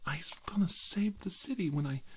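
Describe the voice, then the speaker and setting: A man playing an unhinged, brooding middle-aged scientist villain in a whispered ASMR roleplay, muttering haltingly and repeating himself. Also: sits high, a little hiss or hum, voice pushed up, close to an everyday voice. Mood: anguished, defensive.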